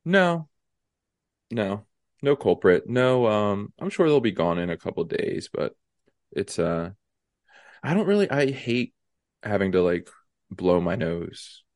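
A young man with a stuffy, congested nose co-hosting a casual podcast chat, halting with many ums and restarts. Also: lowish voice, very animated, darkish tone, no echo, clean studio sound. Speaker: podcast co-host.

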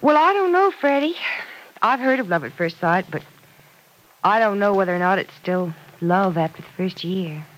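An actress playing a gentle, sensible, sympathetic woman friend who reassures tenderly and in measured words in an old radio drama. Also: medium pitch, expressive, close to an everyday voice, poor audio. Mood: wry, friendly. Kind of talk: 1940s radio drama acting. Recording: old radio recording with background noise.

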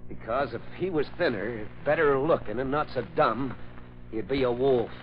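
An actor playing a cocky, slangy young newspaper reporter in an old-time radio drama. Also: male voice, medium pitch, normal speed, close to an everyday voice. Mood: brash. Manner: slangy, brash. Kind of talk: 1940s radio acting. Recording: muffled, noisy old radio recording.

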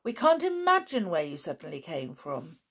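A woman's voice: fairly low pitch, huge pitch swings, moderate pace, a bit bright, breathy edge, exaggerated voice. A woman voicing a curious, determined boy who keeps pressing for answers. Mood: insistent. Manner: eager and pushy.

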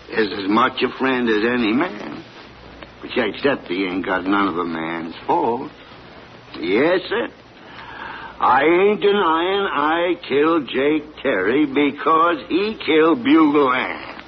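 An actor playing an old rural farmer on trial, talking in a slow, plain, earnest drawl. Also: male voice, medium pitch. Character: old farmer on trial, defending his hound. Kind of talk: 1940s radio acting. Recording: dull old radio broadcast, faint hiss.

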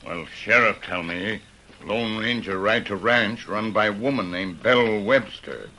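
An actor playing a steady, loyal sidekick in an old-time radio western, speaking terse broken English. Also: male voice, lowish voice, a little slow. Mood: steady, serious. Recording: faintly noisy vintage radio recording.

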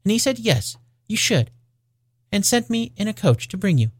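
A man's voice: high voice, very animated, normal speed, breathy edge, no echo, pitched above the performer's own voice, full character voice. A man voicing a loving, gleeful little girl, eager and affectionate, in a storybook reading.

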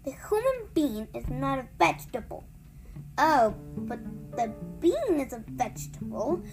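A woman's voice: sits high, big pitch swings, a little slow, music in the background, heavily acted, low-quality recording. A woman voicing a polite, cautious young girl who asks careful questions in a children's storybook.

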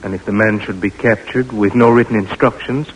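Cool, doubtful army captain. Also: male voice, fairly low pitch, steady tone, a little fast, breathy edge, rough recording. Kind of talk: old-time radio drama acting. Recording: old radio recording, faint background noise.

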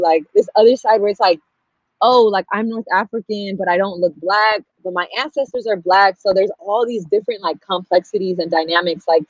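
A woman's voice: medium pitch, no room sound. Muffled, dry-sounding young Californian woman. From Pasadena, California, United States. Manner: candid, reflective. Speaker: podcast guest, storyteller and activist. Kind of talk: casual personal storytelling.

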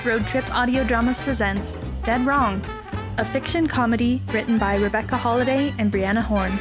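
A woman's voice: on the high side, normal speed. A woman announcer reading scripted show credits. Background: music.

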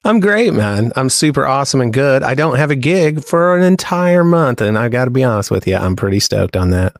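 A man's voice: medium pitch, very animated, fairly quick, no echo, studio quality. A man co-hosting a podcast, telling anecdotes in a laid-back, colloquial way. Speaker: podcast co-host.

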